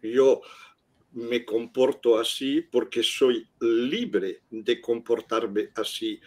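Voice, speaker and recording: Older man, unhurried, hesitant, video call from home. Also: medium pitch, fairly even intonation, a little mellow. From Catalonia, Spain. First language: Catalan. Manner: unhurried, hesitant with many fillers. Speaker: guest scientist. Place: home room indoors. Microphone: video call webcam.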